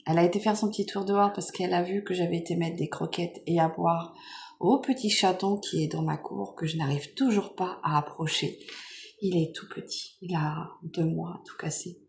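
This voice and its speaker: A French woman with a cold, hoarse and nasal, chatting softly and rambling with many fillers as she unboxes gifts at home. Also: on the low side, steady tone, normal speed, slight background noise. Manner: soft-spoken, meandering with many fillers. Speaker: vlogger. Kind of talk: casual chat unboxing makeup gifts.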